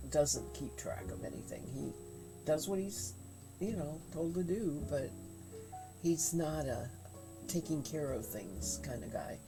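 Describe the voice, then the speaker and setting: Woman, low voice, congested head cold, rambling casual stream chat, faint background music. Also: low-quality recording. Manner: rambling, halting with many fillers. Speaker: livestreamer spinning yarn. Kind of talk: casual chat while spinning. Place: home craft room. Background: faint quiet music.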